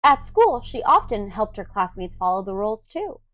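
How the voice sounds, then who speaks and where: A woman reads a children's story aloud in an expressive, clearly enunciated storytelling manner. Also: mid-range voice, normal speed, no room sound. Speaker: storybook reader.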